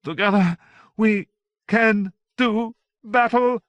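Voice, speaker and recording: A tiny, zealous young maiden who speaks of holy battles in high, ringing tones, as a male narrator puts her on. The delivery is fervent and declaiming. The sound is dry and close. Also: steady tone, moderate pace, fairly bright tone, dry recording. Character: Joan of Arc as a tiny zealous young woman. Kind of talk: audiobook character voice.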